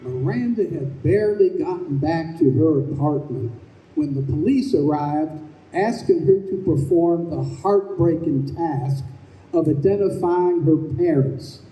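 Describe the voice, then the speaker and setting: A man narrating a scripted old-time radio drama in a measured, solemn storytelling manner. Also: on the high side, warm tone. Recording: echoey live stage, distant mic.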